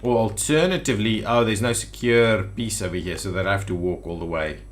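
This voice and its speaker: A man's voice: lowish voice, a little fast. A man giving casual gameplay commentary as a video host, thinking aloud and muttering to himself. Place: indoors at a computer.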